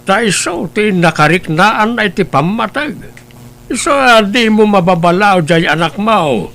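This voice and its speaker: A man's voice: sits high, expressive, a little slow, fairly bright tone, exaggerated voice. A man playing a stern elderly father in an audio drama, counselling emphatically and admonishingly with an Ilocano accent. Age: older.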